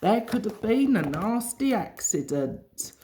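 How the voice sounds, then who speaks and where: Woman reading a slow, sensible engine driver. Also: medium pitch, very animated, mellow. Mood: firm caution.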